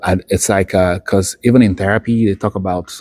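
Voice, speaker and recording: A man's voice: low voice, fairly even intonation, a little fast, a little mellow, no room sound. A man chatting casually as an unscripted interviewer, a fellow student who talks in anecdotes and acts out little quoted asides. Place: indoors at a university building.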